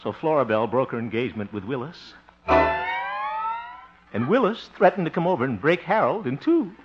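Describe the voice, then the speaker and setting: Jittery, good-natured fellow, folksy and hesitant, very animated pitch, old-time radio comedy, muffled vintage recording. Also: male voice, moderate pace, over loud music. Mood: jovial, uneasy storytelling. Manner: nervous, hesitant, chuckling. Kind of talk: 1950s radio comedy acting.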